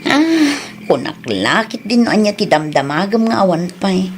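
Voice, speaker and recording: A woman with a Filipino accent playing an affectionate, gently nagging young wife in a radio drama. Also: medium pitch, big pitch swings, moderate pace, slight background noise, close to an everyday voice. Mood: questioning.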